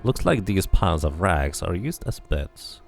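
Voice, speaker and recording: A man's voice: low voice, very animated, unhurried, vocal fry, soft background music. A man doing casual playthrough commentary, musing to himself and reading game text aloud. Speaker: video game streamer.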